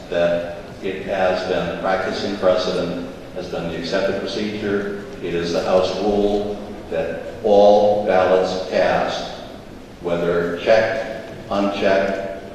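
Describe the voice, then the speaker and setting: A man, a state representative, speaking slowly and deliberately about procedure. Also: deep voice, darkish tone. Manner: slow, deliberate, citing rules. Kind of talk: measured procedural remarks. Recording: echoey chamber, distant mic, faint noise.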